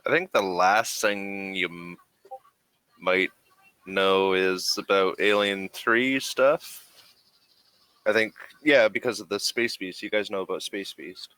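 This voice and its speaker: A man's voice: sits low, fairly even intonation, slow pace, sharp tone, clear, resonant tone, no echo, rough recording. A man acting as game master, narrating a tabletop role-playing game in a casual way.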